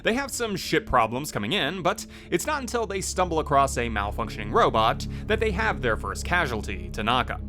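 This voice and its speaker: A man's voice: fairly high pitch, sing-song, a little fast, few pauses. A man giving scripted narration as a video host. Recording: music playing behind the voice. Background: loud music.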